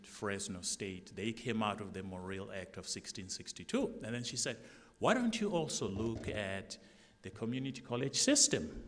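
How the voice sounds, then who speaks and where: A middle-aged man with a South African accent, speaking haltingly at a formal forum as a candidate for college president. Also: on the low side, a bit bright. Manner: halting, with many restarts. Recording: long hall reverb.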